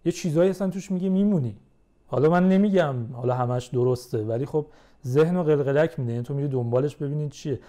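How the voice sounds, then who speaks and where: A young man in casual conversation as a podcast guest, explaining things in a measured way. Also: on the high side, very noisy.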